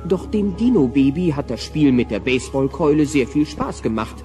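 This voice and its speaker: A man's voice: on the high side, very animated, normal speed, mellow, distant mic. A man narrating a scripted audio drama, with music faintly behind him.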